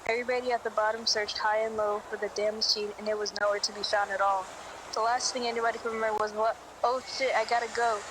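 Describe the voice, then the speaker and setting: Clear noise sits behind a woman acting a brusque, impatient female witness in an audio drama. Her delivery is flat and curt, with a sardonic, slangy edge. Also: on the high side, fast talker, lightly acted. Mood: impatient, uneasy. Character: brusque, impatient woman witness recalling a street-race disappearance.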